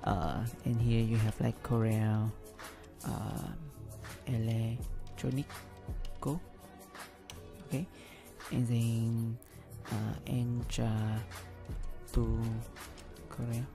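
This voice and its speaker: Gravelly hesitant man explaining a tutorial. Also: mid-range voice, flat delivery, extremely slow, long pauses, music in the background. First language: not English. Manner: hesitant, many ums and restarts. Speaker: business analyst tutorial host. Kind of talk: screen-recorded software tutorial.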